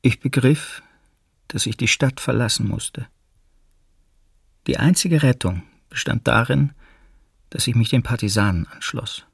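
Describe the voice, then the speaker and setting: Husky male fugitive, determined. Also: mid-range voice, measured pace, pauses often, no echo, studio quality. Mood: resolved. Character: determined male fugitive in occupied city, seeking partisans. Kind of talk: documentary radio play, scripted testimony.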